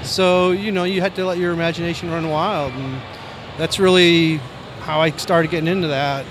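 An American man in his sixties from Maine with a soft voice, reminiscing casually and a bit ramblingly in an interview. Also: a little high, steady tone, moderate pace. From Maine, United States. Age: 60s. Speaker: comic book artist, interview guest. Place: busy convention floor. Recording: faint convention floor noise.

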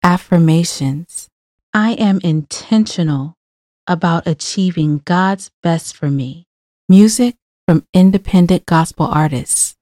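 A woman's voice: on the low side, takes it slowly, dark tone, dry recording. A woman reading scripted promo narration as a podcast host and announcer.

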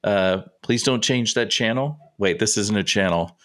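A man's voice: fairly low pitch, moderate pace, a bit bright. A man hosting a sports podcast solo, rambling and chatty while slightly congested and sniffling. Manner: chatty, rambling, self-interrupting. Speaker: podcast host and sports writer. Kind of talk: casual solo sports talk.

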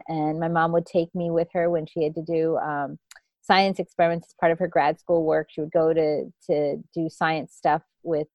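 A woman's voice: low voice, no room sound, highs cut off. Dry-sounding woman in her fifties, reflective. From India. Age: 50s. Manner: reflective, rambling, with frequent restarts. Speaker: guest. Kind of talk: telling her life story in an interview.

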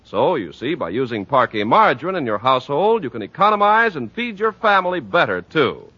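A man's voice: mid-range voice, very animated, brisk, bright, forceful tone, slightly rough. An American man announcing and advertising in scripted 1940s radio style, with an emphatic, polished sales delivery. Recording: dull 1940s radio recording.

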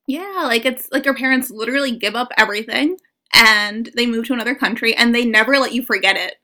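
A woman's voice: a little high, a little fast, bright, forceful tone. A young woman, a coach guesting on a podcast, chatting casually and informally with frequent filler "like". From born in Morocco, grew up in the US and Morocco. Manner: informal, peppered with "like". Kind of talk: casual chat.

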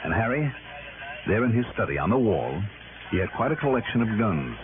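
Tough american radio detective, wry and persuasive, tinny noisy old broadcast sound. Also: male voice, fairly low pitch, big pitch swings, brisk. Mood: earnest, confiding. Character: tough, self-assured male private investigator defending himself. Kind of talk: 1960s radio drama acting.

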